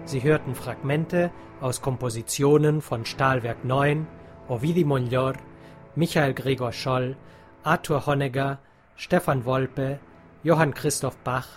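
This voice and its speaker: A man reading scripted closing credits for a radio production in a measured, deliberate way. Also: mid-range voice. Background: music.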